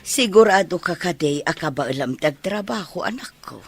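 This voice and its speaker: An actress playing a worried, devout older village mother in an Ilocano radio drama, with an Ilocano accent and a husky voice. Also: on the low side, lively intonation, moderate pace, faint music behind. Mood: motherly concern.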